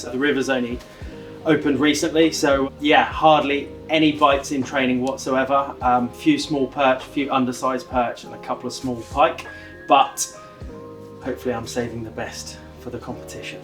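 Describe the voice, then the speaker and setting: Easygoing angler from near London. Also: male voice, medium pitch, steady tone, moderate pace, fairly bright tone. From near London, England. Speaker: angler competitor. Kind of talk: interview answers. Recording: distant mic, faint music behind.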